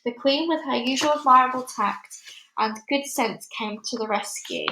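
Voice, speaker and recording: A woman's voice: high-pitched, normal speed, noisy background. Woman reading a storybook, echoey room. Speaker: story reader. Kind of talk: expressive scripted children's book narration. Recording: echoey, roomy sound.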